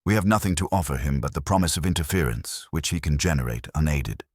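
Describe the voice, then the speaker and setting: A man voicing an imperious, pedantic older detective in an audiobook, speaking in formal, measured, pompous sentences. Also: very deep voice, breathy edge, no echo, clean studio sound. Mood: commanding.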